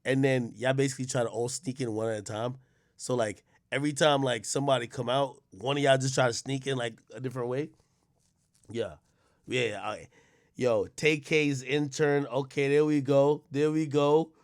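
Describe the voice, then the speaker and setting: A man hosting his own live stream, talking casually and with a lot of swearing as he addresses his chat directly. His voice sits at an ordinary pitch. The sound is close and dry, with no room around it. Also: steady tone, normal speed, no room sound. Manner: loose, profane, talks straight to chat.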